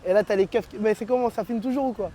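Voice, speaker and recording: Fast-talking young man telling stories. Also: high voice. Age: 20s. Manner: animated storytelling, frequent "tu vois". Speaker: interview guest. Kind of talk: casual street slang chat.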